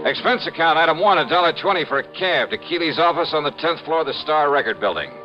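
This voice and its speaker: An American actor playing a wry, confident insurance investigator in a 1950s radio detective drama, with a dry delivery. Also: male voice, fairly high pitch, animated, fast talker, lightly acted. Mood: wry narration. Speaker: lead actor and narrator. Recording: muffled old radio recording, music behind.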